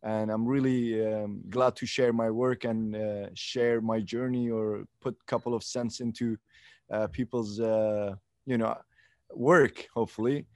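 A man's voice: on the low side, fairly even intonation, unhurried, darkish tone, no room sound. Earnest film director guy on a video call outside, lots of ums, dead dry sound. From eastern Turkey, Kurdish region. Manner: earnest, searching for words, many ums. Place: outdoors in a sunny garden. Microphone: video call laptop mic.

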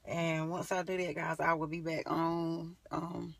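A young woman with a creaky, fry-laden voice chats informally to her phone in a car. She rambles and thinks aloud in a casual vlog style, and the phone picks her up from a little distance. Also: deep voice, level tone, a little slow, no echo. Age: young adult. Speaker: beauty vlogger. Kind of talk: casual chat to camera. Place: inside a parked car. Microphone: phone at arm's length. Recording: slightly distant phone recording.

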